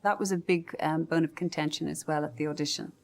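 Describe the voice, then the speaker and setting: An actress telling stories from a film set in an interview, animated and full of small fillers. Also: female voice, deep voice, fast talker, vocal fry. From Ireland. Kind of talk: reflective interview recollection.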